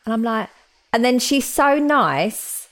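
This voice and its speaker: A woman in her forties co-hosting a podcast, chatting casually and telling stories with lively exclamations. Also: a little high, slow pace. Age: 40s. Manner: chatty, animated storytelling with rising exclamations. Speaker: podcast co-host. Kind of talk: casual chat.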